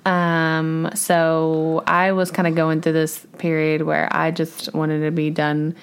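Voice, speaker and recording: A woman co-hosting a podcast with her husband, chatty and animated, her voice a little scratchy, laughing often. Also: deep voice, takes it slowly, clean tone. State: slightly scratchy voice. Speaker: podcast co-host. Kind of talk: casual chat.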